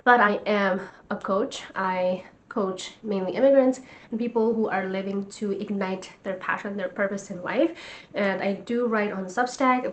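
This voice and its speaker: An earnest, encouraging young woman speaks straight to the camera at a medium pitch, like a coach cheering on her viewers. Faint background hiss sits under her voice. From Nepal. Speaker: vlogger and life coach. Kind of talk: casual talk to camera.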